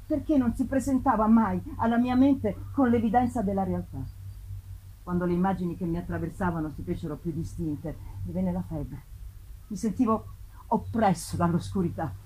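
A woman narrating a gothic novel in a deliberate, theatrical way, with emphatic swells and drops. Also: mid-range voice, a little mellow, breathy edge, poor audio. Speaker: narrator, reader. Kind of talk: dramatic reading of a gothic novel. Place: live festival performance. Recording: live reading with faint ambient noise.

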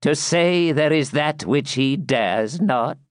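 A man voicing an unearthly spirit-like voice, broken and shrill, drawing out slow accusations. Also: animated, no echo, clean studio sound. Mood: mocking.

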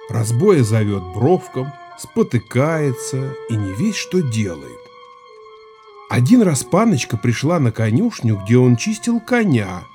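A rustic Cossack villager telling an eerie tall tale in an audio drama. His delivery is very unhurried yet swings widely in pitch, folksy and emphatic, with music underneath. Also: mellow. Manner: folksy, emphatic, exclamatory storytelling. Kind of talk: theatrical audio play acting.

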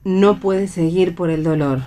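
Low-pitched woman narrator. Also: a little slow, mellow, slight background noise. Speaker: narrator reading stage directions. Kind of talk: scripted narration for radio theatre.

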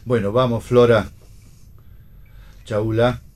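An Argentine man playing a gruff, needling father in a radio play reading, with a husky voice. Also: medium pitch, little pitch movement, takes it slowly, a little mellow, close to an everyday voice. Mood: reserved, probing. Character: gruff, needling father and husband, forgetful with names. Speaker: actor in a read-theatre radio play.

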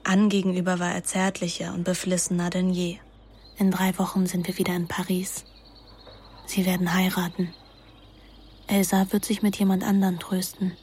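An actress playing an introspective young woman narrating a literary radio play in a measured, languid manner. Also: fairly low pitch, little pitch movement, darkish tone, a little hiss or hum, close to an everyday voice. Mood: reflective. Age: young adult. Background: music.

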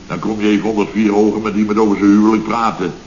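An actor playing a blustering, aggrieved middle-aged boss of a building firm in a sarcastic, grumbling radio comedy style. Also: male voice, fairly low pitch, little pitch movement, rapid-fire, darkish tone, natural voice. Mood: indignant sarcasm. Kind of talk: Dutch radio comedy acting, circa 1970. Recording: dull, noisy, roomy old radio recording.

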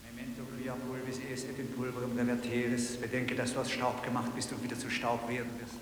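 Actor as a solemn priest in deep echo. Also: male voice, completely flat delivery, a little fast, natural voice. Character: solemn priest intoning a Latin liturgy of dust. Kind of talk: liturgical intoning in a radio play. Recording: distant, very echoey, hissy radio recording.

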